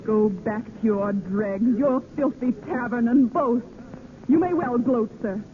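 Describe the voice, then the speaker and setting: A woman's voice: on the high side, measured pace, natural voice. An actress playing a proud, wounded young noblewoman, formal and emotional in an old radio drama style. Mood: bitter, wounded. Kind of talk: 1940s radio acting. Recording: tinny, hissy old radio recording.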